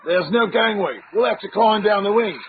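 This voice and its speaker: A man's voice: high-pitched, moderate pace. A surly tough-guy flyer from a radio serial. He talks in grudging, wisecracking slang. The sound is thin and phone-like, with background noise. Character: surly, reluctant tough-guy pilot held at gunpoint. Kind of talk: old-time radio adventure acting. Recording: tinny band-limited radio drama, background noise.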